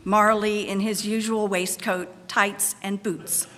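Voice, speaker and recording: A woman giving an expressive, dramatic scripted narration as a storyteller. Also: medium pitch, slow, noisy background. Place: large church, live performance. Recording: echoey hall, long reverb.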